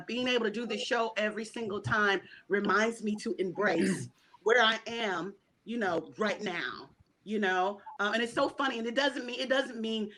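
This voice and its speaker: A woman's voice: mid-range voice, bright, forceful tone. A woman cast member talking about her role in a casual video call interview, emphatic and laughing often.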